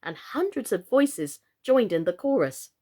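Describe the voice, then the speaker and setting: A woman who is a professional actress reading a children's classic aloud, with a theatrical, expressive delivery. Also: medium pitch, measured pace. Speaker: storyteller.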